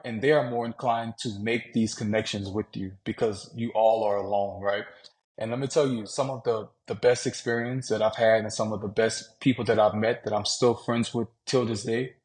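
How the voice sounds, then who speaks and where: A man from Louisiana, a podcast guest, talking about travel in a relaxed, conversational way, often saying "man" and "you know". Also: on the low side, poor audio. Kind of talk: casual interview talk.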